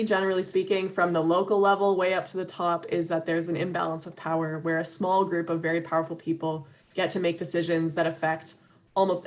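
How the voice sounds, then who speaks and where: A woman with a slightly low voice gives an earnest, loosely organized talk over a video call. She fills her pauses with ums and restarts, and a light laugh slips in between points. Her voice sounds a little worn, as if she is starting to lose it. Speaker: webinar speaker for an advocacy organization. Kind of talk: conversational talk.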